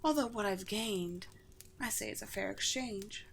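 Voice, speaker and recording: A woman voicing a gentle, regal undead vampire queen in a scripted ASMR roleplay, speaking formally and poetically with tender, light amusement. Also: medium pitch, measured pace, gravelly, slight background noise. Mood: serene, wistful.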